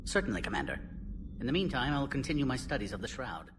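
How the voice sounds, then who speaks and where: A man playing an alien scientist in a video game, brisk and clinical, with clipped, precise, academic phrasing. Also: mid-range voice, sing-song, natural voice. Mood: measured, musing. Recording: distant voice over clear background noise.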